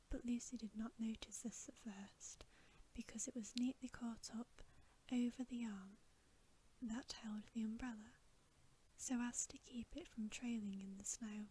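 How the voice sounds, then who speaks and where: A woman reading a bedtime story as ASMR in a soft, breathy whisper, gentle and soothing, close to the microphone. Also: fairly high pitch, slight background noise, rough recording.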